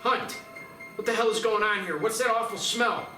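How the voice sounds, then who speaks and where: A man's voice: high voice, moderate pace, lightly acted. A man playing a distraught small-town sheriff in a dramatic screenplay table read, with an anguished, exclaiming delivery. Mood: alarmed. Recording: echoey, distant, music behind.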